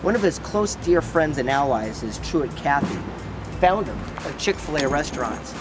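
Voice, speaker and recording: An upbeat man in his forties chatting through a documentary, laughing often. His voice sits a bit high and is caught from a distance in echoey spaces, with music under it. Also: lively intonation, moderate pace. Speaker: documentary host and narrator. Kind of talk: casual documentary vlog narration. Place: various indoor and outdoor locations.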